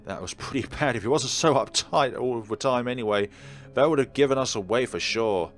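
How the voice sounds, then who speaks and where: A man's voice: mid-range voice, brisk. A man reading a visual novel aloud as a let's player, dropping in wry, casual commentary. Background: game music under the voice.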